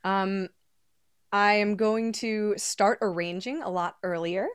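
A young woman with a low voice, chatting as a guest musician in a casual interview, laughing often and filling pauses. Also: fairly even intonation, clean tone, no room sound, studio quality.

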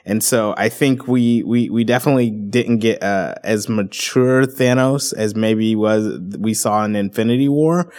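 Low-voiced guy musing through his take, kinda skeptical, laid back podcast chat. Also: a little slow, hardly any pauses, darkish tone.

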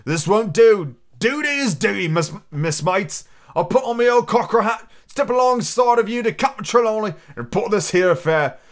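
A man acts out a cunning, hearty old seafaring cook from an adventure storybook. He talks in salty sailor dialect with theatrical exclamations, in a raised, lively pitch. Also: moderate pace. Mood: hearty, earnest.

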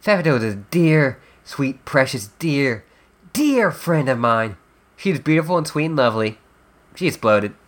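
A man voicing a chatty, flippant teenage girl in a webcomic dub, with wry, drawn-out emphasis. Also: medium pitch, sing-song, natural voice. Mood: mock mourning. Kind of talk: character dub reading of a webcomic.

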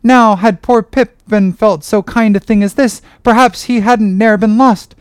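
A woman voicing a crazed, pitiful young ship's boy in a dramatic audiobook, excitable, chanting and pleading. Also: fairly high pitch, a little slow, clear, resonant tone, no echo, studio quality, lightly acted. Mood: tender, pleading. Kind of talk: dramatic audiobook character reading.

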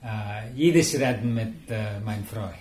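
A man whose first language is Tamil, speaking haltingly and searching for words in a casual group discussion. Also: on the low side, a little mellow, echoey room. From India.

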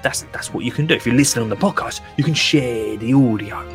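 A man's voice: medium pitch, fast, faint music behind. A podcast host doing a playful, chatty ad break for his own show. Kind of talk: jokey spoken ad break.

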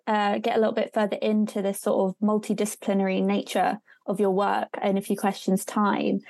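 A woman's voice: medium pitch, darkish tone, dry recording. A woman hosting an interview in a friendly, structured style, upbeat and encouraging with her guest. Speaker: interview host.

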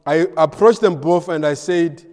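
A man from Malawi, a pastor and missionary in his thirties or forties, giving a ministry update with frequent pauses and fillers. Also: on the high side, darkish tone, in a large hall. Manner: hesitant, many filler pauses.

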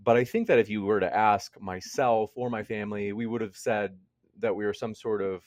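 A man's voice: fairly low pitch, fairly even intonation, moderate pace, dry recording, studio quality. A man in his mid-thirties speaking as an interview guest in a conversational, reflective way, thinking aloud. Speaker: interview guest, debate channel host. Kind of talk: conversational personal testimony.

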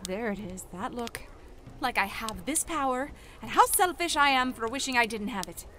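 A fiery, defiant young elf woman from a fantasy audio drama. Her voice sits slightly high and she talks in a pointed, challenging way, with faint noise behind her. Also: lively intonation, normal speed, sharp tone. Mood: bitter.

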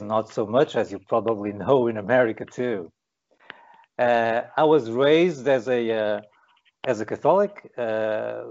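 A man's voice: mid-range voice, a little mellow. A man from Portugal interviewing a guest, hesitant with many fillers and restarts. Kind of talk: video interview. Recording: dull lo-fi audio.

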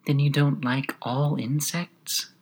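A tiny, wistful storybook insect, acted out by a narrator, speaks in a small, quiet voice pitched above a normal reading. It is gentle and confiding, as if right beside the ear. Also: little pitch movement, slow, breathy edge. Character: tiny sad insect, a gentle pleading gnat.

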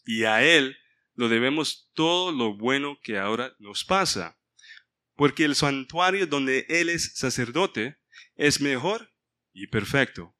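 Bright-voiced man leading a Bible study. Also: mid-range voice, expressive, slow pace. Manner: mixes in English words, stops to ask the group for help. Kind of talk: informal Bible study sermon.

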